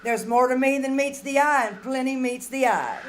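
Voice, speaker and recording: A woman playing a plucky, wisecracking single woman who takes charge, brisk and dryly sarcastic, in 1940s-style radio comedy. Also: high voice, lightly acted. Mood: wry, brisk. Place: small theater stage. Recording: noisy live stage performance.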